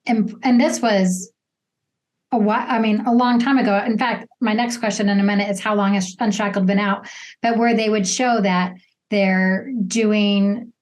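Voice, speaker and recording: A woman's voice: medium pitch, fairly even intonation, moderate pace. A woman hosting an interview in a casual, conversational way. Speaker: interview host.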